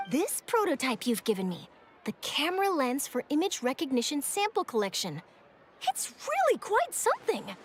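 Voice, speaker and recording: A woman's voice: sits high, a little hiss or hum. A woman voicing an eager, earnest and articulate young female journalist in a video game. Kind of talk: English video game voice acting.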